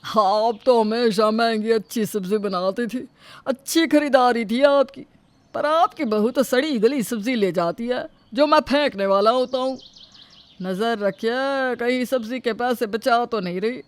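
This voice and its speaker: A woman's voice: on the high side, unhurried, no room sound, natural voice. Blunt, gossiping vegetable seller man acted by a woman. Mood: gossipy.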